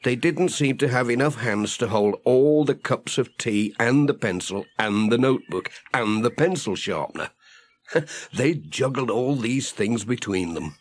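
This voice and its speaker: A man's voice: mid-range voice, expressive, a little slow, slight background noise. A man narrating a scripted children's story as an audiobook reader.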